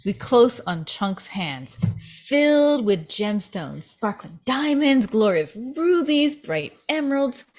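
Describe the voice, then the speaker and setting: A woman's voice: mid-range voice, darkish tone. A woman reading screenplay stage directions as a narrator in a dramatic scripted style.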